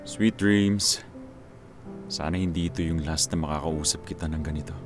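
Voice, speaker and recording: A low-pitched man in love, played by an actor, earnest and a little halting as he courts his old screen partner in a radio drama. Music plays clearly behind him. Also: steady tone. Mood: wistful. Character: earnest, lovelorn former screen partner of a doctor.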